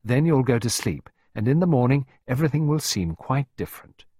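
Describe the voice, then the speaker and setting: An anxious, commanding stepmother, acted out by a male narrator in a storybook mystery. The voice is lifted in pitch and lively in its movement, coaxing and protective, with an insistent urgency. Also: normal speed, slightly rough, dry recording, studio quality. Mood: consoling.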